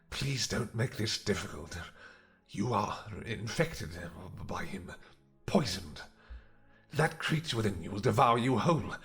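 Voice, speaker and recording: A man playing a cold, pleading vampire conspirator in a fantasy audio roleplay, urgent, deliberate and menacing. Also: on the low side, a bit bright.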